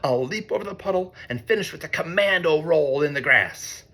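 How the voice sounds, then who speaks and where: Man putting on a squeaky, anxious little mole. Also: expressive, brisk, sharp tone. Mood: flustered. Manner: whispering, gasping, flustered. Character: small, clumsy, anxious, eager male mole. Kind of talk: children's storybook read-aloud.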